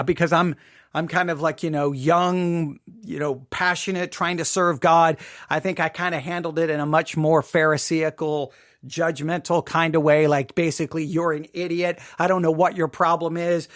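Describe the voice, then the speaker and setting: Higher-voiced man, emphatic, close dry sound. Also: fairly bright tone, dry recording. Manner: emphatic, repeats and rephrases himself. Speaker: podcast host. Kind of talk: informal personal commentary.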